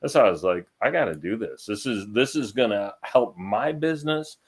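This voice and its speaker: Lower-voiced guy cohosting, joking around, pokes fun at himself. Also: lively intonation, moderate pace, husky. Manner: joking, self-deprecating. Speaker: podcast co-host. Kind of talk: casual podcast chat.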